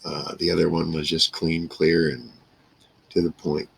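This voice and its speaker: A man in his sixties speaks in a very low voice with heavy gravel and a husky edge. He reminisces casually, rambling from one thought to the next in salty, plainspoken language. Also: level tone, unhurried, rough recording. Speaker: vlogger. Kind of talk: casual reminiscing monologue to camera. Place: small wood-paneled room indoors.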